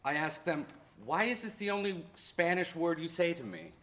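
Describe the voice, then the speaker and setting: A man's voice: on the high side, sing-song, moderate pace, fairly bright tone. A man playing a reflective college history professor who reminisces about lost parents in a staged-reading monologue. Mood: wistful, earnest.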